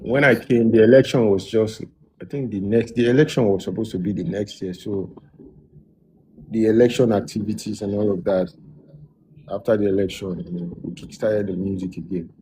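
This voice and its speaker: A man's voice: fairly low pitch, normal speed, a little hiss or hum, rough recording. A man, a music producer, telling his story in a casual interview with a lot of restarts. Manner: relaxed, loose storytelling with many restarts.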